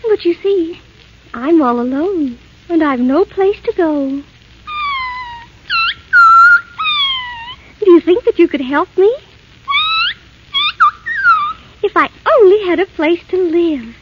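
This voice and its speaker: A woman's voice: very high voice, expressive, moderate pace, heavy background noise. A woman playing a sweet, innocent young fairy-tale princess with childlike, theatrical delivery in 1930s radio style. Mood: relieved, gentle. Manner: wide-eyed, childlike, theatrical exclamations. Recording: muffled 1938 radio recording with music.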